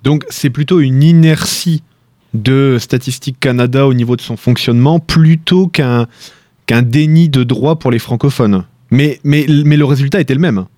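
A man hosting a radio interview, asking measured questions with many hesitations. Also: mid-range voice, warm tone, no room sound, clean studio sound.

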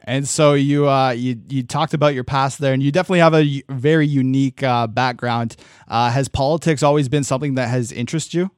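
A man's voice: medium pitch, fairly even intonation, fairly quick, clear, resonant tone, no echo, clean studio sound. A man hosting a radio interview, asking questions with hesitant uh fillers and repeated words.

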